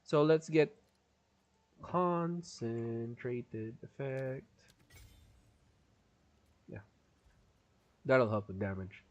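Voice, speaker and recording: A man's voice: medium pitch, drawn-out words, pauses often, highs cut off. A man streaming a game live, chatting casually and explaining his build while his voice is strained and he holds back a cough. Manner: chatty, explains build details, laughs often. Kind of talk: live gameplay commentary.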